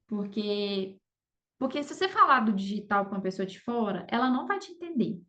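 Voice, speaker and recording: A woman's voice: mid-range voice, very animated, a little fast, pushed and bright. A Brazilian woman speaking Portuguese as a podcast guest, telling her story casually and often seeking agreement with little tag questions. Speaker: podcast guest, affiliate marketer. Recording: dull lo-fi audio.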